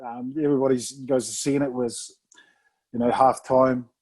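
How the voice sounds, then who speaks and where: Mellow New man, laid-back. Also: medium pitch, moderate pace. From New Zealand. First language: Samoan. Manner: relaxed, rambling, full of "mate" and "bro". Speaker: rugby player guest. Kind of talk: casual podcast interview.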